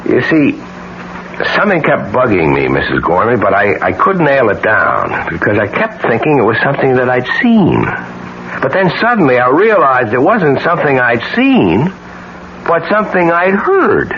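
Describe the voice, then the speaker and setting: A man acting in a 1970s radio mystery, playing a steely, determined man who speaks with deliberate, theatrical emphasis. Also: medium pitch, huge pitch swings. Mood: grave, explaining. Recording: dull, very noisy 1970s radio recording.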